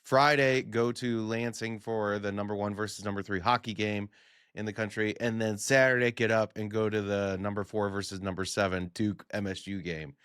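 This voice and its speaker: Lowish smooth guy on a podcast, kinda rambly, voice a bit worn. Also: flat delivery, moderate pace, a bit bright, no echo, studio quality. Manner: loose, rambling, with many fillers. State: voice worn from yelling. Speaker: podcast co-host. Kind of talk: casual travel podcast chat.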